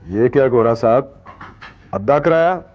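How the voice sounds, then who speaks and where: A man playing a working-class cart driver with a Punjabi accent, cocky and full of hope. He muses aloud at a slow, drawn-out pace with sardonic swagger, in television drama style, on slightly dull lo-fi film sound. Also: mid-range voice, expressive, lightly acted. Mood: taunting, defiant. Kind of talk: colloquial television drama acting, musing aloud.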